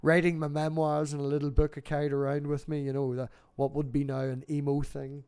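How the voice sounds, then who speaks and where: Male, middle-aged, slightly high register, casual and sweary livestream talker who spins anecdotes. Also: completely flat delivery, fairly quick, a little mellow, clear, resonant tone. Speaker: livestream host.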